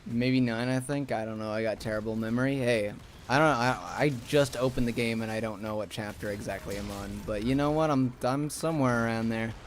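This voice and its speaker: A young man streaming a game, sleepy and a little raspy, rambling casually to chat as he plays. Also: mid-range voice, fairly quick, a little hiss or hum. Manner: rambling, talks to chat while playing.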